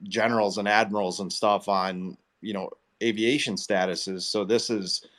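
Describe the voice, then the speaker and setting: Low-pitched man chatting casually. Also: steady tone, moderate pace, a bit bright. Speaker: host of live investor audio calls. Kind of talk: casual chat in a live group audio call.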